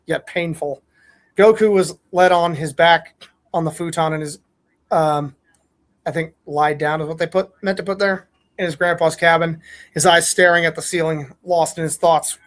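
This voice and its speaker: A man's voice: on the high side, fairly even intonation, moderate pace. A man hosting a podcast, reading fan fiction aloud and chatting casually. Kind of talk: casual read-aloud and group chat.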